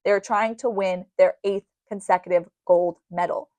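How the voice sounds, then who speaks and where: A woman's voice: medium pitch, steady tone, normal speed, darkish tone, dry recording. Dry-sounding woman hosting sports radio. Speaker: sports radio show host. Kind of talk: sports radio commentary and recap. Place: radio studio.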